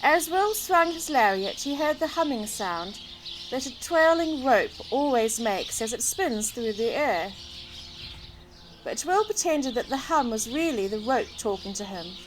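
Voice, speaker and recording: A high-pitched woman narrates a children's storybook at an unhurried pace. Her delivery is lively and varied, as if speaking to small children. Birdsong and noticeable outdoor noise sit behind her voice. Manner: expressive, addressing young listeners. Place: outdoors in a garden. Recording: outdoor recording with clear background noise, birdsong.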